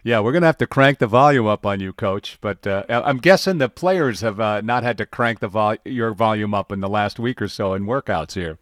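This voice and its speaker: A man's voice: lowish voice, brisk, hardly any pauses, no room sound, clean studio sound. A man hosting a sports news interview, asking questions in loose, hesitant phrasing.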